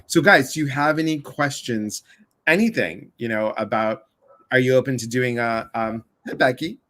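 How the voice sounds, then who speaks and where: A man's voice: medium pitch, moderate pace, fairly bright tone. A man from the Chicago area hosting a casual livestream conversation, chatty and full of asides. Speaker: livestream host.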